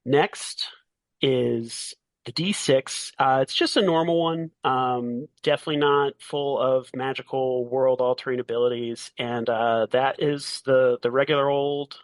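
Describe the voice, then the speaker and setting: A man's voice: mid-range voice, fairly even intonation, measured pace, no room sound. Casual male game master, dry close sound. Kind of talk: casual tabletop game narration.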